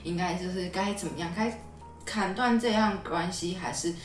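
A woman podcast host with a low voice and a Taiwanese Mandarin accent talks casually and ramblingly. She sounds a little away from the mic in an echoey room, with music behind her. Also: moderate pace. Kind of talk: casual conversational recap and commentary. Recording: roomy echo, slightly distant mic.

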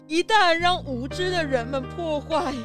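A woman's voice: very high-pitched, measured pace. A woman voicing a frail, worried old grandfather who sighs and admonishes in a children's audio drama. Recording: music behind the voice.

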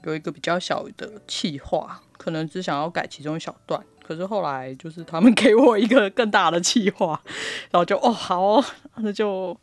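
A woman's voice: fairly low pitch, moderate pace, few pauses. A woman with a Taiwanese Mandarin accent, an author talking casually as a podcast guest. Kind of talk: casual chat.

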